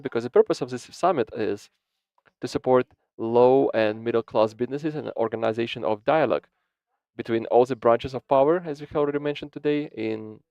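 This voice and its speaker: A man's voice: medium pitch, a little mellow, smooth, no room sound. A man renders a panel discussion into English on the fly. He often halts, repeats words and restarts sentences as he keeps up with the speakers. The recording is dry and muffled, with a lo-fi edge. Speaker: simultaneous interpreter. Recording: dull, dry lo-fi sound.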